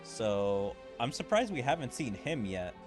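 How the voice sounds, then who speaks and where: A man streams a game with clear music playing behind him. His voice sits at an average pitch. He talks casually, turning things over and speculating aloud as he comments. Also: sing-song, unhurried. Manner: musing, speculative. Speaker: gaming streamer.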